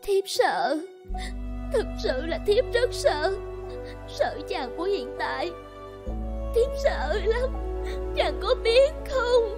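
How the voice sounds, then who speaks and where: An actress playing a gentle, anxious, devoted village bride in a cartoon folk tale, pleading and hesitant. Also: female voice, very high voice, very animated, slow, pauses often, music in the background, full character voice. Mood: frightened. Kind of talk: animated folk-tale dialogue.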